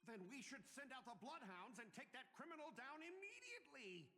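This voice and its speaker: An actor playing an anxious, sycophantic corporate underling in a video game, flustered and deferential. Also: male voice, extremely high pitch, very animated, brisk, sharp tone, in a large hall, exaggerated voice. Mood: panicked. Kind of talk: video game character dialogue.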